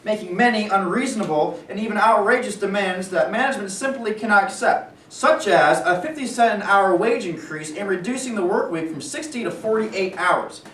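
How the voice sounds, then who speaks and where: A man acts out a well-born industrialist in a stage play reading, polite on top and menacing underneath. His speech is formal and measured. He is heard from a distance in a noisy, reverberant room. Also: high voice, fairly bright tone. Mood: persuasive. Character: smooth, pressuring well-born steel company man, veiled threats.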